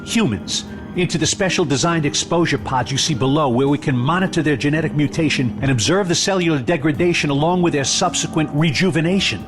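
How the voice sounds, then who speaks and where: An actor playing a suave, fanatical male scientist villain in an audio drama, explaining things in a deliberate, patronising, theatrical manner. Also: fairly high pitch, music in the background, natural voice. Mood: proud, lecturing.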